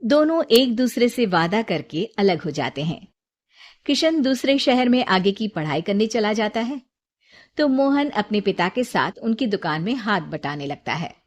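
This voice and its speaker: A woman's voice: mid-range voice, sing-song, a little slow. A woman storyteller reading a scripted moral tale as narration.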